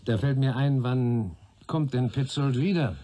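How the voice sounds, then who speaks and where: An actor playing a wry, chatty, grumbling middle-aged police superior in a radio crime play. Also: male voice, mid-range voice, a little slow, mellow, lightly acted. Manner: jocular, rambling, grumbling. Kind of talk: German radio play dialogue.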